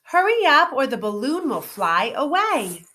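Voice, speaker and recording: A woman voicing a grown man, a friendly humbug wizard, in an animated storybook read-aloud. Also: high-pitched, measured pace, pitched above the performer's own voice, heavily acted. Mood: urgent.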